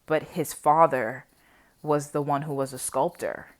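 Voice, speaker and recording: Woman, 20s, low-pitched voice, unscripted personal vlog, conversational and animated, wanders between thoughts. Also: measured pace. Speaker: vlogger sharing personal reflections on history. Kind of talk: casual unscripted chat to viewers.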